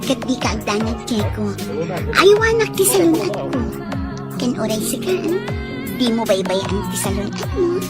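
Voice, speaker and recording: A gentle, caring young woman in a radio play. Her voice is high and rises and falls freely. A loud music bed runs underneath. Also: sing-song, a little slow. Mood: reassuring. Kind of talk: radio drama acting.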